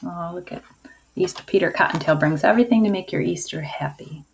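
A woman teacher giving a video lesson to young children in simple, deliberate phrases. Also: lowish voice. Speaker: early-years school teacher. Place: indoors at a table, homemade video. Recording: dull lo-fi sound, clear noise.

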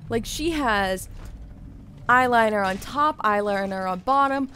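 A woman's voice: a little high, measured pace, bright, forceful tone, a little hiss or hum. A young woman giving casual reaction commentary indoors, with video game sound behind her. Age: young adult. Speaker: gaming reaction host. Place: indoor room at gaming chair. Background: video game audio.

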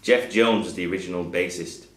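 A man reading out pub quiz questions to a room of players, in a measured and clear way. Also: low-pitched, steady tone. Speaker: quizmaster. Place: pub function room with a group at tables. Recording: roomy pub room sound.

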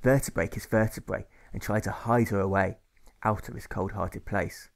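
A man reads a rhyming story as an audiobook narrator. His delivery is measured and rhythmic, with deliberate emphasis on each item in a list. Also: fairly low pitch, fairly even intonation, vocal fry. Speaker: author reading his own audiobook.

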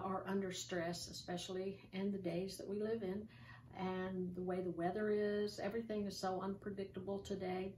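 Older woman, gentle chatty devotional host, sits back from the camera in a roomy space with faint hiss. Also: medium pitch, vocal fry. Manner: gentle, conversational, with many ums and restarts. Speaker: host of an online church show. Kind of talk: informal devotional talk with scripture reading. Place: small room with curtains, seated in an armchair. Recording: roomy, distant home recording with faint hiss.

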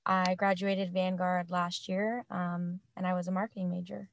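A woman's voice: fairly low pitch, flat delivery, normal speed, creaky, dry recording. A woman in her twenties, an assistant coach, speaking casually on a group video call. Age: 20s. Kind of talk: casual self-introduction. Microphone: video call microphone.

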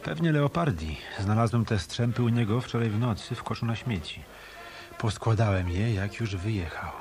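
A man's voice: on the low side, moderate pace, a little mellow, natural voice. A male actor playing a tough, wry hotel detective in a hard-boiled Polish radio play. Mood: calm, wry.

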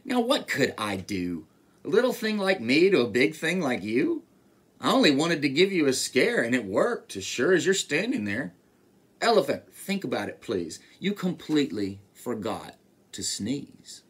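A man's voice: mid-range voice, big pitch swings, measured pace, slightly rough. A man voicing a bold, mischievous little gray mouse who teases and scolds in storybook rhyme. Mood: teasing. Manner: teasing and bossy in rhyme.

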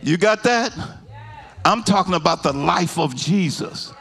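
Brief: middle-aged pastor, high gravelly voice, slow deliberate teaching that builds to shouted sung-out peaks, handheld mic in a church, faint music behind. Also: male voice, expressive. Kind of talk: sermon. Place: church sanctuary.

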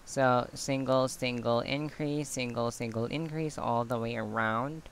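A man giving a quiet step-by-step craft tutorial, counting stitches as he works off camera. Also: mid-range voice, steady tone, a little hiss or hum. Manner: slow, quiet counting while working with hands. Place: indoors at a table. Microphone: camera on hands, speaker off screen.

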